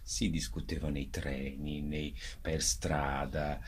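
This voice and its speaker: A man speaking as a theatre director in a radio interview, explaining in a halting way as he searches for words. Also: extremely low pitch, level tone, a little hiss or hum.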